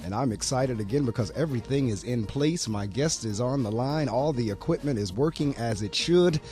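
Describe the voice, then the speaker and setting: A man's voice: mid-range voice, animated, normal speed, dark tone, soft background music. A man hosting a morning radio show and reading the weather, with a slightly froggy voice, in an upbeat talkative way. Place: small college radio studio. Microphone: studio mic.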